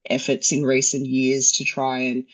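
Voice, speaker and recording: Husky-voiced Australian man. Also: mid-range voice, monotone, low-quality recording. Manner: measured, hedging, many fillers. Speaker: invited speaker answering audience questions. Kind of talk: conference Q&A.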